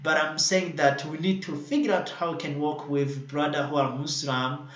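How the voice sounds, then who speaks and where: A man's voice: a little high, fairly even intonation, normal speed, fairly bright tone, roomy sound, highs cut off. A man hosting an online panel discussion from home at night, talking with many fillers and restarts. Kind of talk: closing remarks in an online panel. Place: home in Addis Ababa, at night.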